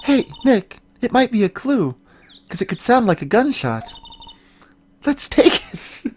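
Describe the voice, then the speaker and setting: Video game sidekick girl, acted by a woman: impulsive, playful, childlike, very lively intonation. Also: fairly high pitch, a little slow, husky, heavily acted. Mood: playful, excited. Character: perky, impulsive, childlike young female sidekick.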